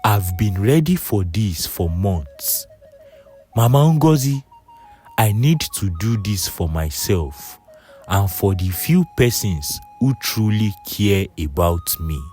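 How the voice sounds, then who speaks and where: Earnest, resolute rich man for a folktale read by a man. Slow, sincere, lively intonation. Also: fairly low pitch, faint music behind.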